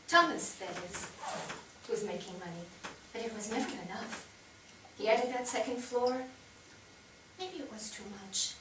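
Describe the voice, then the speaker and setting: Woman acting high-voiced grieving older widow, echoey theatre. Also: pushed and bright. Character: grieving older widowed mother, ashamed and regretful. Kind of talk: theatrical staged reading. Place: theatre stage, picked up from far off. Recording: distant echoey theatre, noticeable noise.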